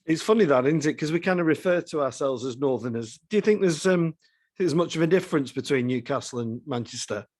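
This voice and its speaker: Higher-voiced male interviewer, close dry sound. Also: expressive, a little fast, darkish tone, dry recording. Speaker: podcast host interviewing a musician. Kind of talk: conversational interview.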